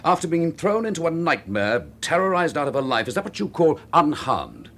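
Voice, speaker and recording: An actor in a radio drama playing a dogged, wary police chief inspector with terse, gruff replies. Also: male voice, fairly high pitch, very animated, moderate pace, a bit bright. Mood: indignant. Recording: faintly noisy radio drama recording.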